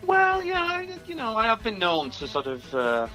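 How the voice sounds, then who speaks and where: A man's voice: sits high, huge pitch swings, normal speed, pushed and bright, soft background music. A man chatting casually as a musician guest, hesitant and self-effacing about his work. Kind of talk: casual interview chat.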